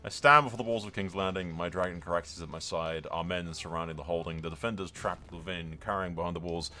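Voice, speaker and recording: A man's voice: deep voice, fairly even intonation, quick pace, sharp tone, voice pushed down. A man roleplaying a proud, wrathful warrior prince who rides a dragon, declaiming defiantly in a fantasy game. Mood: grim, menacing.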